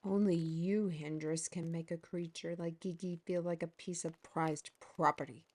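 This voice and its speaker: An actress playing a quick-witted heroine with a wry, dryly sarcastic way of talking. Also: female voice, fairly low pitch, fairly even intonation, a little mellow, close to an everyday voice.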